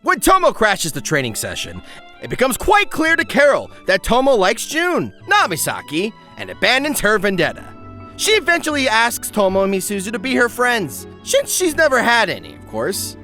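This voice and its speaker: Male comedic review narrator, very high pitch, bright, wry exaggeration, faint music behind. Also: wildly swooping pitch, normal speed. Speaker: video essay narrator.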